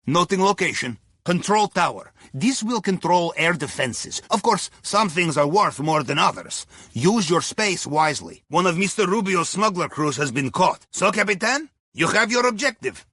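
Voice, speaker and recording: Casting: upbeat Russian submarine heist planner for a video game; wry and folksy, bright higher voice, husky edge. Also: male voice, sing-song, normal speed, few pauses, no room sound, studio quality, full character voice. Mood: wry, businesslike. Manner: wry, folksy, drops articles. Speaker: mission guide. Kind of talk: scripted video game voice lines.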